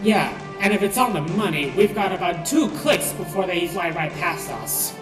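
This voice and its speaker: A woman's voice: on the low side, lively intonation, moderate pace, lightly acted. A woman voicing a sarcastic, grumbling robot crewman in an amateur comic dub. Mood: brisk, matter-of-fact. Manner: sardonic, grumbling. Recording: roomy, with loud music bed.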